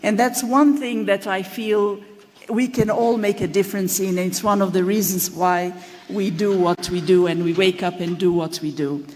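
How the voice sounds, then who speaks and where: A woman from Tanzania, giving a formal, measured acceptance speech at an awards ceremony. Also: mid-range voice, fairly even intonation, a little mellow. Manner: measured and deliberate, slowing to stress key phrases. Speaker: award recipient. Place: large hall at an awards ceremony. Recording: long reverberant hall.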